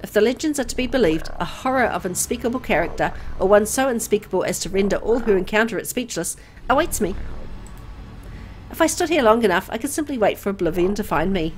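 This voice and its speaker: A woman's voice: medium pitch, animated, fast talker. Woman narrating a story, noisy recording. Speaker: visual novel reader. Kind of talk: dramatic first-person story narration.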